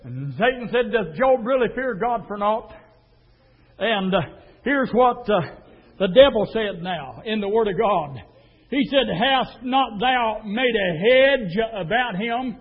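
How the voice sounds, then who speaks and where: A man preaching a fiery sermon, dropping to a low murmur and then rising to a shout. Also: lively intonation, slow pace, breathy edge. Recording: tinny phone-like sound.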